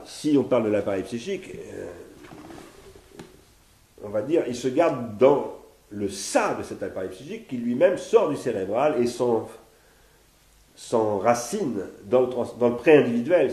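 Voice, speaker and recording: A man's voice: mid-range voice, dark tone. A man in his sixties from France giving a philosophy seminar at home, reasoning aloud in a searching way, often restarting and repeating words. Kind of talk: spoken philosophy seminar, partly read from notes. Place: living room, indoors. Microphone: fixed camera at medium distance. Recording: echoey room.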